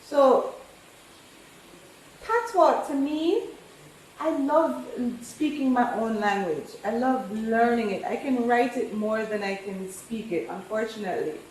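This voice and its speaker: Opinionated woman cook with a New York-Jamaican accent, slightly high voice, echoey room. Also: expressive, measured pace, a little mellow, slight background noise. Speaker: cooking competitor. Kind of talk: casual chat while cooking. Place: rental apartment kitchen.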